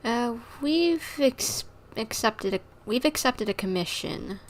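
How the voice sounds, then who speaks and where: A woman game streamer with a slow, playful delivery and lively pitch. She chats and reads lines aloud, with faint typing and game sound behind her. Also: a little hiss or hum. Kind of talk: livestream gameplay chat, reading dialogue aloud. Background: typing and game ambience.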